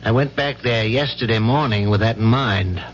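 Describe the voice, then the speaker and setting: Actor as reserved professor, muffled old radio sound. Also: male voice, on the low side, normal speed, close to an everyday voice. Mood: concerned, reporting. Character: reserved, careful male marine lab professor giving testimony. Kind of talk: radio drama acting. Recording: muffled, noisy old radio broadcast with hum.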